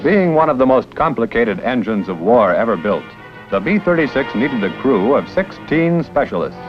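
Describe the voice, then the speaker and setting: A man's voice: medium pitch, big pitch swings, moderate pace. A man giving scripted, proud, declamatory narration for an old documentary film. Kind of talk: scripted 1950s newsreel narration. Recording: dull old film soundtrack with music.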